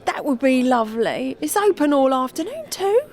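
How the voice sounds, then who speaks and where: A woman's voice: sits high, big pitch swings, normal speed, a little mellow. A woman playing a sweet, trusting older London pub regular in a comedy radio play, kindly and easily impressed. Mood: grateful, curious.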